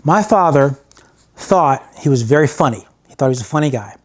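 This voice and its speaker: An American man hosting and telling stories casually, in an animated way with phrases repeated for emphasis. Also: medium pitch, fairly quick. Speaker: host and storyteller. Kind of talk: casual spoken storytelling.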